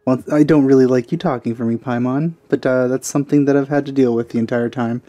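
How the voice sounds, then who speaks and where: A man's voice: medium pitch, steady tone, fast talker, warm tone. A man giving casual commentary while playing a game, muttering hesitant asides. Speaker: gamer recording a playthrough. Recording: game music faintly behind.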